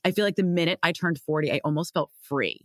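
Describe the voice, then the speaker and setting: A woman of forty hosting a casual interview, talking candidly and musing out loud. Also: low voice, quick pace, no echo, studio quality. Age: 40s. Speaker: host.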